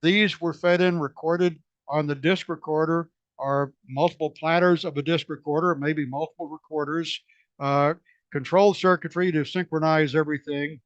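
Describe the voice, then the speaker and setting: A man presenting a technical slide talk at a museum society meeting, hoarse and losing his voice, halting with many fillers and restarts. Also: on the high side, fairly even intonation, no echo, highs cut off. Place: meeting room.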